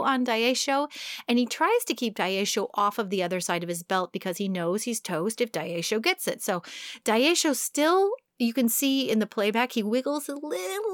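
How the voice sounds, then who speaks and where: Brief: female sumo podcast co-host, mid-pitched, detailed play-by-play, dry close sound. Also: fairly bright tone, no echo. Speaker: sumo commentator. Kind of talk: podcast commentary on bouts.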